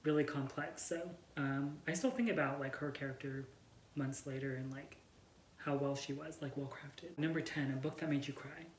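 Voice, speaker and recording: Low-voiced woman in casual book chat. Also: fairly even intonation, slight background noise. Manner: halting, filler-heavy with frequent "like". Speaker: book vlog host. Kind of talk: casual co-hosted chat about books. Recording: roomy, echoey home recording.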